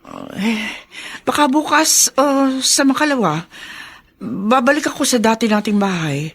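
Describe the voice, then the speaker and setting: A woman's voice: fairly high pitch, animated, a little slow, husky, exaggerated voice. An actress playing a hopeful, steadfast woman comforting a patient in a radio drama. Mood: reassuring, hopeful.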